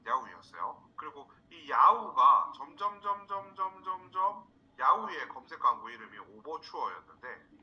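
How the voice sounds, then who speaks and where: A man from South Korea teaching a live online class in an informal, halting way, repeating words for emphasis. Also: a little high, big pitch swings, lo-fi sound. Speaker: instructor, marketing trainer. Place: indoors, online screen-share session.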